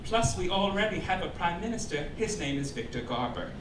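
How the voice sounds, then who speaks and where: A noisy, echoing live club recording carries a man's animated stage voice. He reads satirical rulings like a pompous chairman, arch and campy, landing each punchline with emphasis. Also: sits high, brisk. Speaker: comedian presiding as chairman of a mock club. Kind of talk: scripted satirical stage monologue, read as official rulings. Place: live cabaret club stage before an audience. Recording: roomy live club recording, distant, loud noise. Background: audience laughter, loud room noise.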